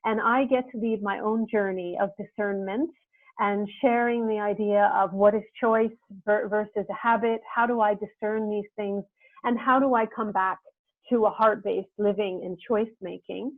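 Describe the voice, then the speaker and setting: A woman's voice: mid-range voice, steady tone, normal speed, no room sound. An earnest woman hosts an interview over a video call, thinking aloud in long, winding sentences. Her voice comes through thin and phone-like, with a dry, close sound. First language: English. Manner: earnest, reflective, speaks in long winding thoughts. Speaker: interviewer and host.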